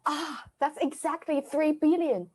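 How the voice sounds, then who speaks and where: Brief: woman improvising an earnest ex-maid witch, fairly high voice, halting delivery. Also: a little mellow. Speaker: improv player. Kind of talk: improvised comedy scene.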